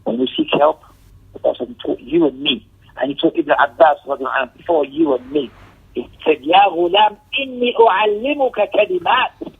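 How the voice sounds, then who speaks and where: A man's voice: high-pitched, sing-song, normal speed, gravelly, husky. A man teaching an Islamic lesson as a religious teacher, emphatic, with repeated phrases, rhetorical questions and shifts into Arabic recitation. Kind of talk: Islamic lecture with commentary on a text. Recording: tinny, narrow telephone-like audio.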